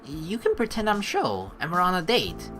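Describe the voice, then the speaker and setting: A woman's voice: on the low side, huge pitch swings, normal speed. A woman let's player reading game text aloud expressively, mixed with casual commentary. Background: game music.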